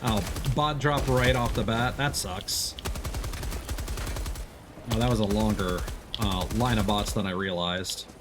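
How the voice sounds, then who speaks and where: A man with a fairly low voice talks through a video game for his stream. He speaks casually and a bit hesitantly, working things out aloud, with game noise clearly audible behind him. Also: steady tone, measured pace, long pauses. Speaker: livestreamer, gamer. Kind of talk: casual gameplay commentary. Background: video game sounds.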